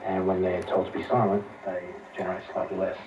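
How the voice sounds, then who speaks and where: A low-pitched man explains his subject conversationally as a radio interview guest. The sound is a dull playback from some distance, with clear noise over it. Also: completely flat delivery, fairly quick. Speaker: expert guest on a radio programme.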